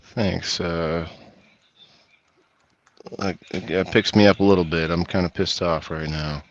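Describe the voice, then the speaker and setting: A man's voice: low voice, fairly even intonation, measured pace, lo-fi sound. A man chatting on a stream as co-host, dropping playful quips. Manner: playful quips, reacts to games. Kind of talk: casual livestream chat.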